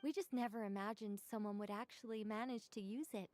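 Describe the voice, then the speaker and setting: A woman's voice: medium pitch, steady tone, brisk, darkish tone, close to an everyday voice. A woman voicing a composed, witty woman author in a video game, speaking articulately with a conversational, lightly teasing manner. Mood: playful, explanatory. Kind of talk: scripted game dialogue.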